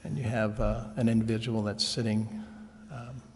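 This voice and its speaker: A man speaking as a council member at a public meeting, raising a question in a halting, tentative way. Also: fairly low pitch, little pitch movement, a little mellow. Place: indoor council chamber. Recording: reverberant meeting room.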